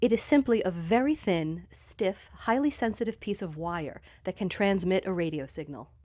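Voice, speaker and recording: A woman's voice: on the low side, expressive, normal speed, a little mellow, no echo. A woman reading scripted narration for an educational video, speaking directly to young listeners. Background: background music.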